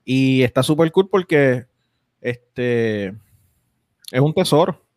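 A man's voice: medium pitch, expressive, slow, darkish tone, dry recording, studio quality. A young man hosting a casual podcast, rambling with lots of fillers and restarts. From United States. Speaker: podcast host.

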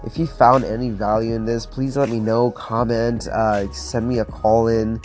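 A man in his twenties from Florida talks straight to his listeners in a loose, motivational podcast monologue. He sounds earnest and upbeat, his sentences dotted with "like" and "um". Soft music plays faintly underneath his mid-pitched voice. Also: flat delivery, normal speed. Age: 20s. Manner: earnest, upbeat, many fillers like "like" and "um". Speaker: podcast host.

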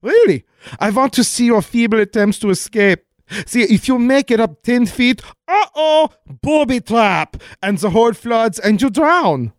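Radio-play villain: fussy gloating German man, very high voice, wildly swooping delivery. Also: lively intonation, unhurried, dry recording, studio quality. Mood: gloating, chatty. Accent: put-on German. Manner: theatrical, hesitant, over-explaining gloating. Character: gleeful, fussy German villain man, scheming and long-winded. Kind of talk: comic 1940s-style radio play acting.

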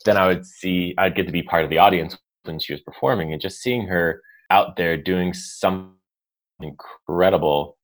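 A man's voice: low voice, fairly even intonation, moderate pace, rough recording. An American man in his thirties, a leadership coach telling his life story in a casual interview. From United States. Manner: chatty, storytelling, self-deprecating with laughs.

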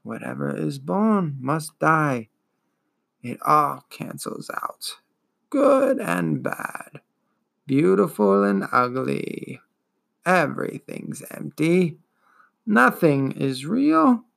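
A man voicing an ancient, weary female swamp turtle who wheezes and gurgles, drawing out every word slowly and without interest. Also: on the high side, very animated, gravelly, a bit bright, exaggerated voice. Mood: weary, indifferent. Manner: very slow, drawn-out, apathetic.

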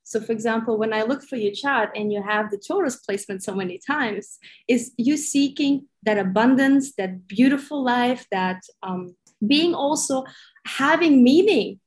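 A woman from Ukraine, a coaching guest on a podcast, talking warmly and encouragingly with slightly phrasing. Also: a little high, normal speed. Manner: warm, encouraging, slightly non-native phrasing. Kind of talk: conversational interview.

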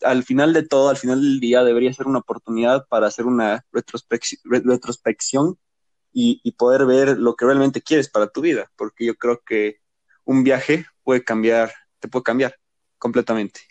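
A man's voice: mid-range voice, steady tone, moderate pace, darkish tone, dry recording. A young man with a Bolivian Spanish accent, rambling through a casual podcast chat with many restarts. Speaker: podcast guest.

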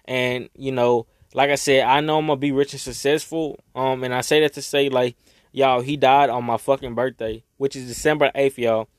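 Young man, raspy from crying, rambling, heartfelt, swearing, medium pitch. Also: steady tone, normal speed, dry recording, studio quality. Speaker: vlogger. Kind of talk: casual emotional monologue. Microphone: own microphone.

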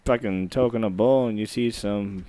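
A man's voice: on the low side, moderate pace, mellow. A man in casual group chat, offhand and joking.

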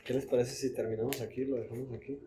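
A man's voice: mid-range voice, little pitch movement, quick pace, mellow, a little hiss or hum. A man with a Mexican accent hosting a casual podcast, laid-back and peppering everything with slang fillers. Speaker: podcast host. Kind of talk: casual conversation.

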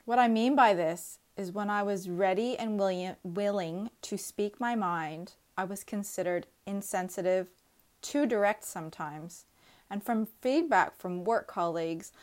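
A woman's voice: mid-range voice, animated, a little slow, a little mellow. Earnest woman in her thirties reading. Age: 30s. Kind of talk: reading a personal essay aloud.

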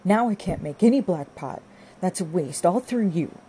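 A woman voicing a brisk, hard, scornful young farm wife who scolds and orders in an audiobook. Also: deep voice, lively intonation, dark tone, a little hiss or hum. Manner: scolding, bossy, rustic turns of phrase. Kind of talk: audiobook character dialogue.

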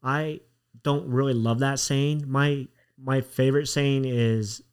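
Relaxed man hosting a podcast. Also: medium pitch, takes it slowly, clear, resonant tone. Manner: relaxed, encouraging, short affirmations. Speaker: podcast host. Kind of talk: casual interview chat.

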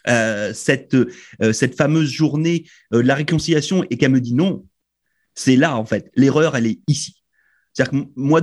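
European-born radio host, fairly high voice, passionate and halting. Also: male voice, darkish tone. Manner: emphatic, hesitant with many fillers. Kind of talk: radio panel discussion. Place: radio studio.

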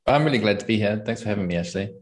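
A young man, talking casually and thoughtfully as an interview guest, often pausing with ums and small laughs. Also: on the low side, animated. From New Zealand. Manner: thoughtful, searching for words, frequent ums and laughs. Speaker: podcast guest and channel host.

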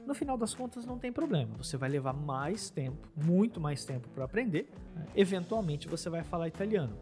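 A man's voice: a little high, sing-song, a little fast, over music. Man lecturing with rhetorical questions. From Brazil. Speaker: Italian teacher and podcast host. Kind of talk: explanatory podcast talk.

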